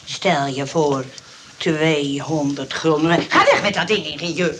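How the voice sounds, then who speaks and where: A motherly, exasperated rest-home nurse in a comic radio play. Her voice sits low for a woman as she reasons and protests. The old recording is clearly noisy, with clicks. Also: expressive, a little slow. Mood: disgusted. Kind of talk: radio comedy drama acting.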